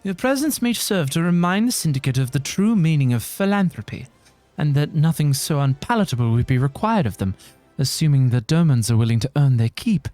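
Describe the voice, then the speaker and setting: A man's voice: a little high, very animated, fairly quick, hardly any pauses, a little mellow, faint music behind, pitched below the performer's own voice. A man voicing an eloquent, composed young nobleman in a fantasy game, with formal and lofty phrasing.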